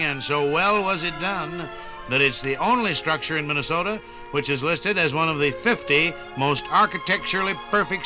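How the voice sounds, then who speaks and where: A man narrating a scripted 1950s radio documentary in a measured, formal announcer style. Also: a little high, low-quality recording. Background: music.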